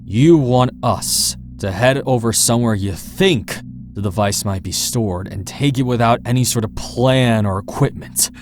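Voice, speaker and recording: A man's voice: mid-range voice, unhurried, natural voice. A man playing a bitter, exasperated young man in a visual novel game, with faint background noise. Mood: exasperated, defiant. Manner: exasperated, blunt. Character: irritable, bitter, foul-mouthed young man.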